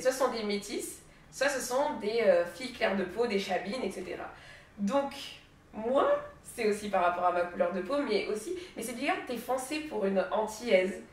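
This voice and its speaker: A young woman from French Guiana chats in a loose, conversational way. She often pauses on small hesitations. She sits well back from the mic in a strongly echoing room. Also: medium pitch. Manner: chatty, frequent hesitations. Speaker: association member in discussion. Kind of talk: casual conversation. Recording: echoey room, distant mic.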